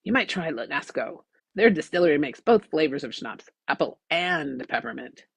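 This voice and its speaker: A woman voicing a gruff, suspicious old woman from a science fiction audiobook, blunt and grudging. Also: low voice, animated, normal speed, no echo, heavily acted.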